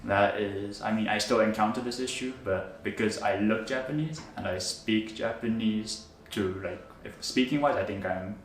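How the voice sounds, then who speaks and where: A young man, a student, casually answering interview questions in a relaxed, rambling way with many fillers. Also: lowish voice, normal speed, hardly any pauses. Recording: roomy, distant mic with faint noise.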